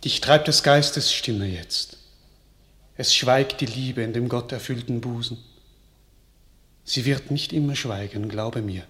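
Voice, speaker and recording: Gracious king, stately, slow, measured verse, radio drama. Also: medium pitch, fairly bright tone. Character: grateful, gracious young king. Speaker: actor in a radio play.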